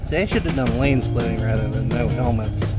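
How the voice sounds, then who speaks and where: Man, mid-pitched voice, casual rambling motovlog chat, unhurried, helmet mic, loud music behind. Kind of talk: casual rambling chat while riding a motorcycle. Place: outdoors, riding a motorcycle in road traffic. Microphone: microphone inside a helmet.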